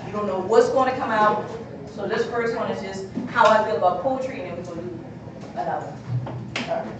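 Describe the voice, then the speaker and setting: A woman's voice: mid-range voice, fairly quick. A young woman performing spoken word poetry live, with rhythmic, emphatic delivery and easy banter with the crowd. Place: art gallery, indoors, live audience. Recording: echoey hall, distant and noisy.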